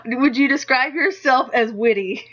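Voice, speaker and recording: A woman's voice: high-pitched, a little slow, fairly bright tone. A young woman doing a casual amateur interview, speaking in a hesitant, giggly way. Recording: dull lo-fi audio.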